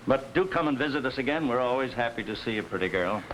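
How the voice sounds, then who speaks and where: An actor plays a courteous, genial man on an institution's staff. His voice sits at an average male pitch. The style is 1950s television drama on a faintly noisy soundtrack. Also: lively intonation, fast talker, a bit bright, natural voice. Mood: courteous, concerned.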